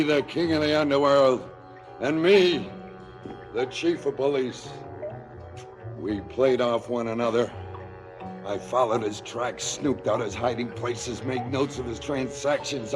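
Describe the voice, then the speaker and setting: An actor playing an embittered, maimed police chief, brooding and theatrical in a radio drama monologue. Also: male voice, mid-range voice, vocal fry. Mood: frustrated, rising. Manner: deliberate, brooding, theatrical storytelling. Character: embittered, maimed middle-aged police chief, coldly vengeful. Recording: music under the voice. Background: music.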